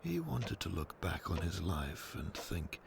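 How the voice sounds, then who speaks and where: Low gravelly guy narrating a story real slow, noisy background ambience. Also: sing-song. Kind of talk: scripted storybook narration for audio drama.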